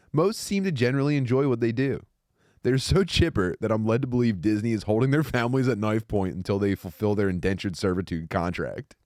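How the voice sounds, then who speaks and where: A man's voice: fairly low pitch, a little mellow, no room sound, studio quality. A man joking in profane slang and reading old posts aloud in a casual way. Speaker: narrator in an audiobook session.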